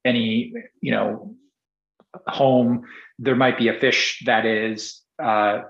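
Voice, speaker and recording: A man's voice: medium pitch, fairly even intonation, takes it slowly, vocal fry, a bit bright, reverberant, muffled recording. A man who grew up in Singapore, speaking as a guest on a podcast interview, rambling with many restarts and fillers. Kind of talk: casual interview conversation.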